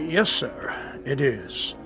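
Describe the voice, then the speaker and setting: An actor playing a fussy, obsequious club attendant in a radio drama, ingratiating and courteous. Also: male voice, on the high side, big pitch swings, very slow, vocal fry, over music, full character voice. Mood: deferential.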